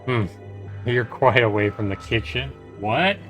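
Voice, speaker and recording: A man's voice: medium pitch, lively intonation, a little slow, a bit bright, faint music behind. A man streaming a game, reading a visual novel aloud and adding casual commentary.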